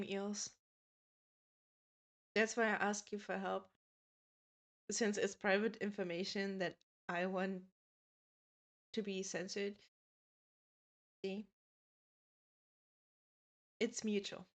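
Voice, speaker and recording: A woman's voice: medium pitch, flat delivery, slow pace, pauses often. A woman in her twenties chatting casually with her stream viewers, laughing often and reading out their messages. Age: 20s. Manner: chatty, giggly, reads chat aloud.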